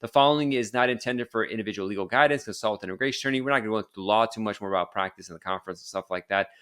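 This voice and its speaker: Fast-talking male podcast host. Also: lowish voice, a bit bright, clear, resonant tone. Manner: rapid, rambling, frequent fillers and restarts. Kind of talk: casual interview and promo.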